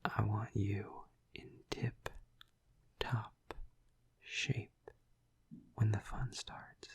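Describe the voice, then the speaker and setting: Husky whispering flirty vampire lover, played by a man. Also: lowish voice, flat delivery, exaggerated voice. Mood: flirty, teasing. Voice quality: breathy, husky, half-whispered. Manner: hesitant, slow, intimate murmuring. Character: flirty, tender male vampire lover, teasing and reassuring. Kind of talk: intimate ASMR audio roleplay.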